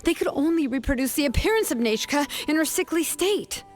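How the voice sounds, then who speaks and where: An actress playing a resolute, compassionate young craftswoman in a video game, with a measured, earnest delivery. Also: high voice, expressive, soft background music. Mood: solemn reproach. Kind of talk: dramatic video game voice acting.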